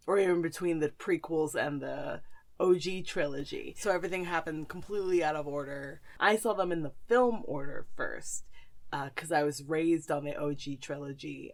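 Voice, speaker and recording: A young woman co-hosting a podcast in casual conversation, speaking emphatically and opinionatedly with casual swearing. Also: sits low. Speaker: podcast co-host.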